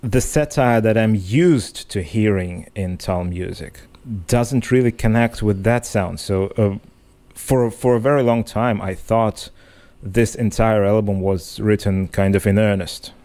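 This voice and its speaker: Mellow-voiced man, non-native English speaker. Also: on the low side, unhurried, hardly any pauses. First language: not English. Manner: thoughtful, hesitant, many restarts. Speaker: podcast co-host. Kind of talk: casual analytic chat.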